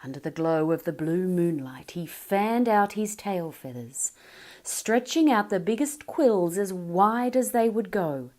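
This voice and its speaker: A woman's voice: fairly low pitch, lively intonation, unhurried, darkish tone. A woman narrating a children's picture book aloud, telling it expressively and dropping to a whisper for suspense.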